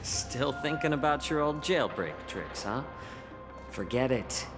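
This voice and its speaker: Composed, courteous fox-folk envoy, reverberant. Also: male voice, mid-range voice, animated, music in the background. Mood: cautioning. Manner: measured, polite but firm.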